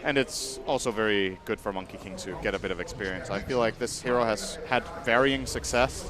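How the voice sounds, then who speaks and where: A man giving measured, analytical color commentary on an esports match. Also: on the low side, a crowd in the background. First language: English. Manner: measured, explanatory.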